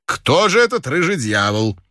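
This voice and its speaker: A man's voice: on the high side, big pitch swings, normal speed, bright, forceful tone, dry recording, studio quality, voice pushed up. A man voicing a firm detective, condescending and emphatic, in an audiobook. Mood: questioning, firm. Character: male detective, firm, exasperated, condescendingly patient with clients.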